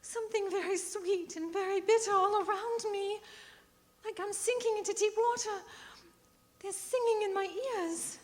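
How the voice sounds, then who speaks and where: A woman's voice: very high-pitched, fairly even intonation, normal speed. A woman playing a dying, lovesick young woman in languid, breathless radio melodrama. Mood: dreamy, fearful. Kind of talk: theatrical radio drama acting. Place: performing arts center stage. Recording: echoey theatre hall with music.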